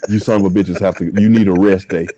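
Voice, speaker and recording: A man's voice: deep voice, steady tone, fast talker, mellow, highs cut off, slight background noise. A man in his thirties from the US South, co-hosting a podcast, telling loose, profane stories in casual chat. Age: 30s. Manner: loose, profane storytelling with frequent laughs. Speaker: podcast co-host.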